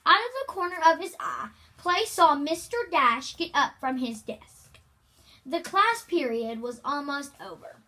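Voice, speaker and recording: Amateur female read-aloud host, high and bright, unhurried, halting delivery with repeated words and informal asides. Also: animated. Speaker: amateur reader hosting a read-aloud video. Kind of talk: reading a children's novel aloud with casual asides.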